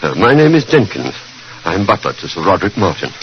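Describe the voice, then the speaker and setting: Hesitant butler, deep voice, servile, polite, halting, 1940s radio drama, dull noisy vintage recording. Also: male voice, big pitch swings. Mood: deferential. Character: deferential, hesitant male English butler.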